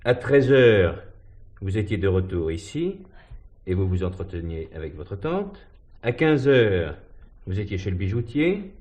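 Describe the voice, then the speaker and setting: An actor playing a stern police commissioner who questions formally and insistently, in a 1970s French radio drama. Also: male voice, sits low, big pitch swings, a little slow, a little mellow. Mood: probing, dry.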